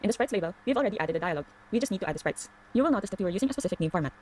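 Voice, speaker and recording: Very fast-talking woman narrating a tutorial. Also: mid-range voice, animated, darkish tone, a little hiss or hum. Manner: clear step-by-step explaining. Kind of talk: scripted instructional narration.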